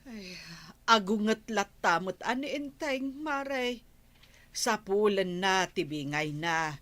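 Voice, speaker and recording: A woman's voice: mid-range voice, animated, takes it slowly, a bit bright. A woman with a Filipino Ilocano accent playing a rueful village wife cheated of her savings, sighing through a radio comedy drama. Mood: worried.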